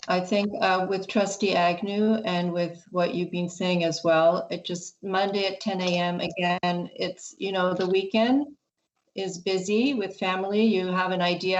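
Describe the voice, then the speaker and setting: A woman's voice: lowish voice, muffled recording. A woman, a school board trustee, making her case in a hesitant way with many fillers over a video call. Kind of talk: formal board meeting debate.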